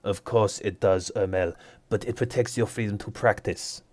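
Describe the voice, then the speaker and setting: Man doing a stern noble lord, measured and dismissive, low voice, dry close sound. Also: fairly even intonation, a little mellow, no echo, lightly acted. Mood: patient. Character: stern aristocratic male lord judge. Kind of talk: tabletop roleplay character voice.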